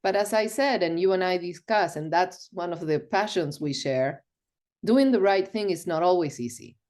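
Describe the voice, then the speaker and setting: A woman's voice: on the low side, moderate pace, a little mellow. A middle-aged woman from Argentina, a podcast guest recounting personal stories over a remote call. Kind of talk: storytelling interview.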